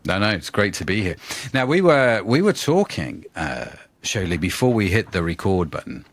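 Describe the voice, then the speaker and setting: A man in his fifties hosts a casual podcast chat, his pitch jumping up and down as he talks. He is chatty and self-deprecating, and he drifts easily into tangents before steering back. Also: sing-song, moderate pace, a little hiss or hum. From England. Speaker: podcast host.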